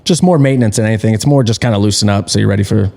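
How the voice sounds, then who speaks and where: Laid-back pit crew guy, low mellow voice. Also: rapid-fire, gravelly. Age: 30s. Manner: laid-back, easygoing, short replies. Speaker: pit crew tire changer. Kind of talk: casual interview.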